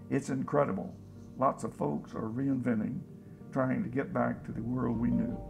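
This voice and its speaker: An actor playing a folksy, plain-spoken, hopeful scavenger in a radio drama about survivors of a disaster. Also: male voice, mid-range voice, gravelly. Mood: hopeful, earnest. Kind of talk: radio drama, interview monologue.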